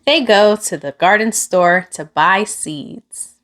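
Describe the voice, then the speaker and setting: A woman reading a children's picture book aloud, with expressive, deliberate phrasing aimed at young listeners. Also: mid-range voice. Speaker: children's storybook reader.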